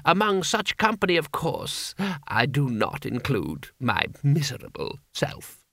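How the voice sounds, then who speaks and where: A man voicing an obsequious, scheming older imperial vizier with florid, unctuous flattery, in an audiobook story. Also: medium pitch, a little slow, no echo, clean studio sound, full character voice. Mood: oily deference.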